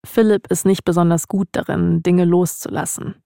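Female podcast host, scripted narrative delivery, lowish pitch with vocal fry. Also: fairly even intonation, normal speed, mellow, no room sound, clean studio sound.

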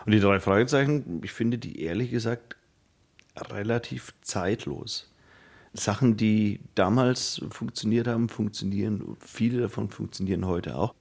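A man with a lowish voice and a lot of vocal fry talks casually in an interview. He is reflective and colloquial, thinking his answers through as he goes. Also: a little slow, darkish tone. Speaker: interviewed fan.

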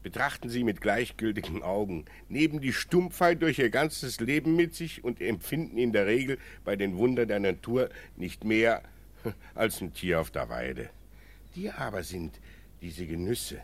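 A male actor playing a kindly, learned senior judge in a radio drama, speaking in measured, didactic, formal phrases. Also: fairly low pitch, sing-song, exaggerated voice. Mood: earnest, consoling.

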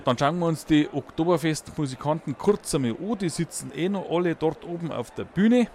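A man with a Bavarian accent, a radio reporter narrating a feature and interviewing in a lively, folksy way. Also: fairly high pitch, a little fast, slight background noise.

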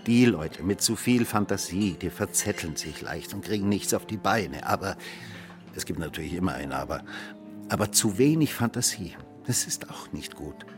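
A man's voice: sits low, animated, normal speed, soft background music. An actor playing a world-weary, cynical man who philosophizes in a rambling, theatrical, mock-courteous way in a radio drama. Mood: musing, dry. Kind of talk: German radio drama dialogue.

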